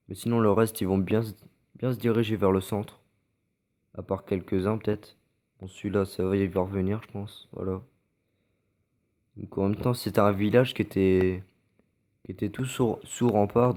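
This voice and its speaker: French man, low mellow voice, congested, halting casual game commentary. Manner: hesitant, many fillers and restarts. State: stuffy from a cold. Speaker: gameplay commentator. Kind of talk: casual gameplay commentary over recorded matches.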